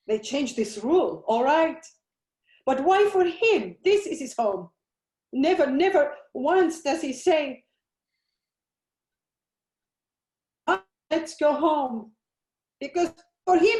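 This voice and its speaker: A woman's voice: fairly high pitch, a little mellow, close to an everyday voice. An actress playing a grieving Italian mother. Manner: broken English, pleading then raging. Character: grieving immigrant mother, older woman, desperate and fierce. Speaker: immigrant mother. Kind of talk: broadcast drama acting. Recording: roomy echoey recording.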